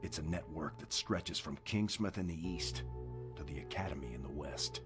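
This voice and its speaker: An actor playing a grizzled older occult hunter in a video game cutscene, gruff, brooding and portentous. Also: male voice, very deep voice, normal speed. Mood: grave explaining. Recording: music under the voice. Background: music.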